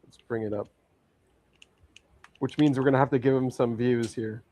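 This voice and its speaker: A man's voice: mid-range voice, fairly even intonation, normal speed, dark tone. A man hosting a casual live-stream chat, talking in an easygoing, wry way.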